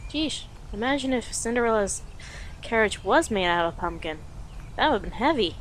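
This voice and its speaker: A woman's voice: on the high side, animated, slight background noise, natural voice, poor audio. A woman playing a playful, affectionate young girlfriend on a date in an intimate, conversational audio roleplay, with a teasing manner. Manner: teasing, giggly, conversational with pauses.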